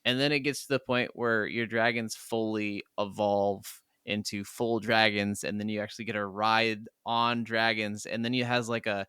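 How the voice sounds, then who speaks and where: A man's voice: on the low side, flat delivery, normal speed, few pauses, bright, forceful tone, clean tone, no room sound, clean studio sound. A man co-hosting a podcast, chatting casually about video games in a rambling, enthusiastic way. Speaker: podcast co-host.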